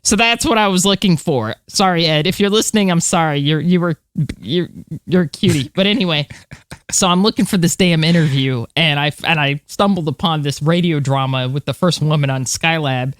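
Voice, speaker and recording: A woman's voice: sits low, a little fast, no echo. An American woman co-hosting a podcast, chatting casually in an informal, rambling, self-correcting way. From United States. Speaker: podcast co-host. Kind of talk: casual chat.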